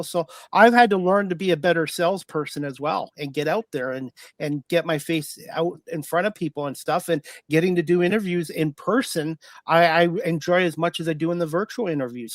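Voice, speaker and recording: Higher-voiced man, casual host. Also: no room sound. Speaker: talk show host. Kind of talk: casual interview chat.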